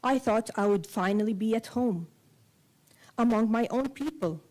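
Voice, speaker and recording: A woman novelist who grew up between Kuwait and Saudi Arabia, a native Arabic speaker, reading a prepared essay aloud in measured, deliberate phrases. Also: on the high side, a little mellow. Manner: measured, deliberate phrasing in short cadenced clauses.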